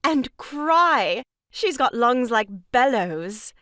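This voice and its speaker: A woman's voice: a little high, big pitch swings, drawn-out words, a bit bright, no room sound, clean studio sound, heavily acted. A woman playing an arch, mock-pious young sister-in-law in a comic stage farce, scolding in a grand theatrical way. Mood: proud.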